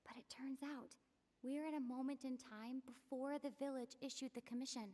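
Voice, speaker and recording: Game heroine: young, calm, analytical traveler, slightly high voice, measured delivery, reverberant sound. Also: female voice. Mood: pensive. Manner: measured, pensive, reasoning aloud. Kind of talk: video game character dialogue.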